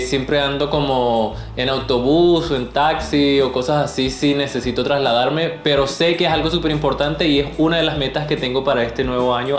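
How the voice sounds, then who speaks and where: Upbeat Venezuelan young man in an echoey room. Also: fairly high pitch. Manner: upbeat, chatty, laughs often. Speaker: vlogger. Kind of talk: casual chat to camera. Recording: roomy echo, light background music.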